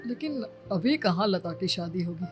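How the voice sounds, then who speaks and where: A woman's voice: on the low side, lively intonation, normal speed, faint music behind, pitched below the performer's own voice, lightly acted. A woman voicing a cautious middle-aged father in a story, doubtful and protective. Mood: worried.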